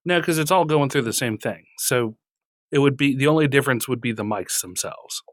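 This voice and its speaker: This is a man co-hosting a casual podcast, quick to tease and laugh. His voice sits in the middle range. The sound is dry and close, from a studio mic. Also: medium pitch, lively intonation, a bit bright, dry recording. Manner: joking, laughs often, teasing. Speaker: podcast co-host. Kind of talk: casual chat. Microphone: studio mic through a mixer.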